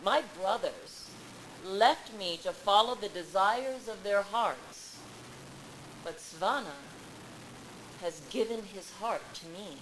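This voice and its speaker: A humble, steadfast prince, read by a woman storyteller speaks with formal earnestness. The delivery is very measured and slow, with faint noise behind it from a live reading. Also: medium pitch, lightly acted. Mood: steadfast, earnest. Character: Yudhishthira, humble, loyal princely man devoted to his dog. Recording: live event with background noise.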